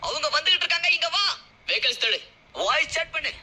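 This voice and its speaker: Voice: sits high, animated, quick pace, sharp tone, a little hiss or hum, heavily acted. A voice actor playing a peppy soldier in a video game, giving short urgent squad commands. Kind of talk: game voice-pack callouts.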